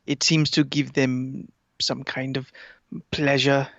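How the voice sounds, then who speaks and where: A man voicing a haughty male cat who schemes to rule humans, speaking in a slow, formal, pompous way. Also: mid-range voice, little pitch movement, gravelly, a bit bright, no echo. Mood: condescending. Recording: dull lo-fi sound.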